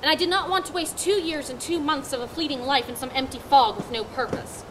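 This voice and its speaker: A woman's voice: high voice, fairly quick, noisy background, lightly acted. A woman reading the part of an idealistic male writer who lives alone in a woodland cabin, speaking in an earnest, declamatory way. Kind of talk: stage play reading.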